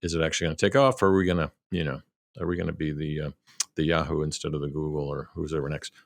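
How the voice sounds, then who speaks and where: A man's voice: extremely low pitch, rapid-fire, creaky, dry recording. A middle-aged man hosting a podcast, chatting casually about business in a rambling, colloquial way. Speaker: podcast host.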